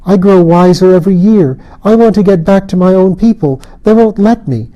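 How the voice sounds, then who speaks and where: A plaintive little changeling girl from a storybook, pleading and wistful. Her voice sits well above a man's usual pitch and stays airy and light, done by a male narrator. Mellow, soft tone. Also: normal speed, highs cut off, close to an everyday voice. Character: fretful little changeling girl held by fairies.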